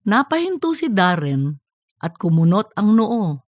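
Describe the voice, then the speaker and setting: A woman's voice: medium pitch, sing-song, a little slow, dry recording, clean studio sound. A woman reading scripted narration for a comedic audiobook.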